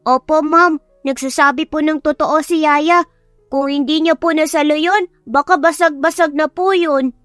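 A woman voicing a cheeky young boy, the youngest brother, in a high childish tone. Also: fairly even intonation, moderate pace, fairly bright tone, no echo, clean studio sound, soft background music. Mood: earnest.

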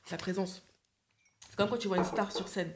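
A woman's voice: mid-range voice, steady tone, normal speed, slight background noise. Young female guest, earnest and insistent, desk mic, indoor set. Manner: earnest, insistent, repeats herself. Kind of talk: casual chat on a livestream. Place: indoor living room set. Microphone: desk microphone.

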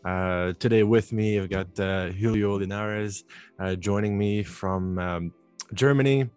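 A man in his thirties hosting a casual interview, speaking in halting, broken-up phrases into a desk microphone. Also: fairly low pitch, darkish tone, clean tone, soft background music. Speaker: show host. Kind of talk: casual interview, channel intro. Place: small home office, indoors, video call. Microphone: desk microphone close in front.

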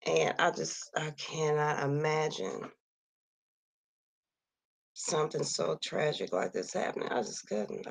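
A woman with a low, gravelly voice that sounds like it is going hoarse talks slowly and softly. She reads from a list and muses aloud into a computer mic, sighing as she goes. The sound is dry and close, with no room in it. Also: dry recording. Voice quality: gravelly, slightly husky voice. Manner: slow, soft-spoken, sighing and musing aloud. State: losing her voice. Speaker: vlogger reading a missing persons list. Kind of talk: casual screen-share commentary. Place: at home near Kansas City.

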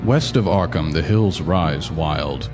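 A man narrating a horror radio drama in a measured, somber way. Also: very deep voice, over music. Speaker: narrator, a surveyor.

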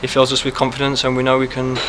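Fast-talking young footballer, flat delivery. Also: male voice, mid-range voice, a little hiss or hum, low-quality recording. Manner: quick, steady answers with many fillers. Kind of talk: post-match interview. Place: press backdrop, indoors. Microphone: handheld mic held close.

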